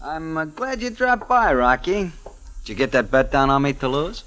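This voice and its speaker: A man playing an excitable, defensive small-time prizefighter in an old-time radio drama. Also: fairly high pitch, normal speed. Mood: eager, welcoming.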